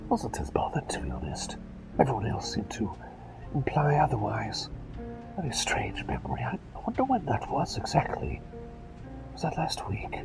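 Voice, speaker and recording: A man's voice: mid-range voice, huge pitch swings, normal speed, music in the background, rough recording. A man voicing an eccentric, absent-minded plague doctor in a whispered ASMR roleplay, speaking in hesitant, polite, rambling phrases. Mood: uneasy, puzzled. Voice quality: breathy whisper with creaky edges. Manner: hesitant, rambling, old-fashioned genteel phrasing.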